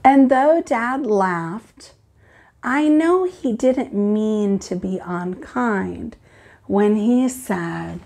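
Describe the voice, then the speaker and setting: A woman teacher reading aloud and explaining to children in an expressive, deliberate, instructive way. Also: mid-range voice, vocal fry.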